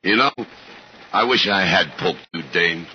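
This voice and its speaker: An actor in a 1950s radio drama playing a big, brooding New York policeman, a smooth schemer who talks in a terse, hard-boiled way. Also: low-pitched, a little slow, slight background noise. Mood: curt. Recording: muffled old radio recording with loud music.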